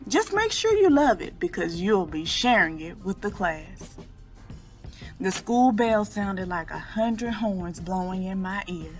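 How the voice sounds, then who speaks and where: Woman reading a children's story over soft music. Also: medium pitch. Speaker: children's picture book reader. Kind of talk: read-aloud storytelling for children.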